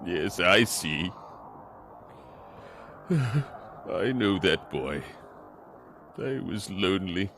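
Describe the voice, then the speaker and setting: An actor playing an emotional old miser in a radio play, halting and stammering as he revisits his past. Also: sits low, animated, fairly bright tone, exaggerated voice. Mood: wistful, regretful. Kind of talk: theatrical radio play acting. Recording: prominent music behind the voice.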